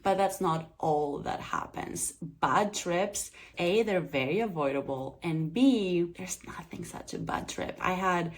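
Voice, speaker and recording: Earnest woman, lowish voice. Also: lively intonation, measured pace, few pauses. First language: Greek. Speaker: psychedelic preparation coach and channel host. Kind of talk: talking to camera, explanatory vlog.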